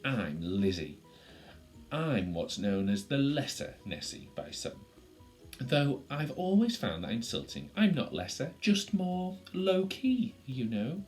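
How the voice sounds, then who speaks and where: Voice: medium pitch, sing-song, slow, darkish tone, soft background music, pitched above the performer's own voice. A storyteller voicing a small female loch creature from Scotland for a children's story, nervous, sad and proudly indignant. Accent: Scottish, put on.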